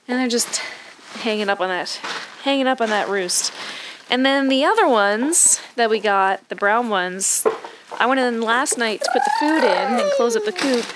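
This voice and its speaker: A woman in her thirties from Canada, filming herself outdoors in a rural yard at arm's length, talking softly and rambling with many fillers, sad. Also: medium pitch. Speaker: homestead vlogger. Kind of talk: casual vlog to camera. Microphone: camera held at arm's length. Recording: outdoor camera audio with background noise.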